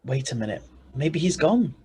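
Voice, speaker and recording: A man's voice: on the high side, very animated, moderate pace, mellow, breathy edge, full character voice. A man playing a stubborn, greedy, ordinary husband in a radio thriller reading, with a wry, conversational, bossy manner. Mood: eager, stubborn. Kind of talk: radio thriller narration and dialogue.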